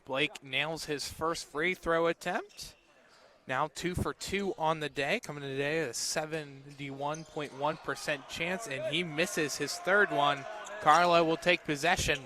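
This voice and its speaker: A man's voice: a little high, steady tone, unhurried, pushed and bright, a little hiss or hum. A man calling a live basketball game on radio as the play-by-play announcer.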